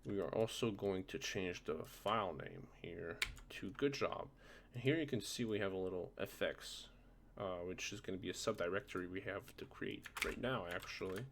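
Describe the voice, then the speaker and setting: A man presenting a software tutorial informally, often checking that the listener is following along. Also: low-pitched, normal speed, gravelly, a little hiss or hum. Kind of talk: casual screen-recorded software tutorial.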